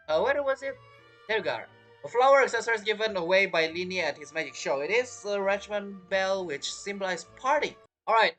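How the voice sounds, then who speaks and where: Bright, animated man commentating a game. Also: high-pitched. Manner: halting, rambling, self-interrupting. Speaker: game streamer. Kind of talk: casual gameplay commentary.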